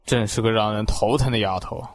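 A man's voice: fairly low pitch, fairly even intonation, brisk, no echo, lightly acted. A man playing a stern, worried middle-aged father in a radio drama, speaking with curt authority. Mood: stern, concerned. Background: music.

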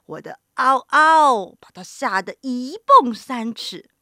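A woman voicing a boastful, bossy male lion in a children's story, loud, commanding and showy. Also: high-pitched, animated, slow pace, dry recording, clean studio sound, full character voice.

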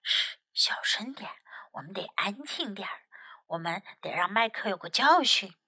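Storybook prankster critter, woman performing: sly, conspiratorial, mock-gruff, unhurried. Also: high-pitched, pushed and bright, no room sound, clean studio sound. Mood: hushed conspiring. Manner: conspiratorial, funny put-on gruffness. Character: sly little prankster animal Tim, hushed or gruff.

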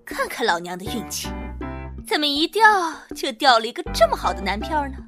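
A woman's voice: high-pitched, very animated, brisk. A woman playing a bossy young shop boss in an audio drama, feisty, sassy and theatrical. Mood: gleeful, bossy. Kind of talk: Chinese audio drama acting. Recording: music behind the voice.

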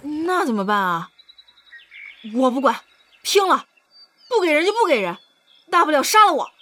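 Headstrong young princess in love, high and very lively, costume radio play. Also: female voice, normal speed, slight background noise. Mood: frantic, defiant. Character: headstrong lovestruck young princess, impulsive and impatient. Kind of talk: Chinese costume audio drama acting.

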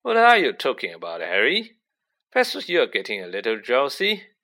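A vain celebrity wizard from a storybook, acted by a man. He is pompous and effusive, with a bright, forceful voice that swoops and lingers on words he enjoys. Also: mid-range voice, huge pitch swings, heavily acted. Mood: puzzled, breezy. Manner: pompous, effusive, drawn-out emphasis. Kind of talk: audiobook character acting.

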